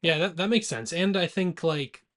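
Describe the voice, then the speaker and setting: A man's voice: a little high, normal speed, a bit bright. Casual young man co-hosting a movie podcast. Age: late 20s. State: drinking alcohol. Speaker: podcast co-host.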